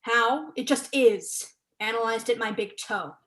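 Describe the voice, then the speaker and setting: Young woman acting a scornful writer, fairly high voice. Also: a little slow, fairly bright tone. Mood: defiant. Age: young adult. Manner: dry, exasperated, cutting. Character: sharp-tongued, scornful, guarded woman writer. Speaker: actor in a student staged reading. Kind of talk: staged play reading.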